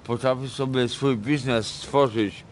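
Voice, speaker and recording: A middle-aged man from Poland, high and rambling, swearing a lot in a casual talk on a city street at night. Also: steady tone, slow pace, a little hiss or hum. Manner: crude and sweary, rambling, mixes in broken English. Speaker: homeless man interviewed on the street. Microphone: vlogger's handheld camera mic.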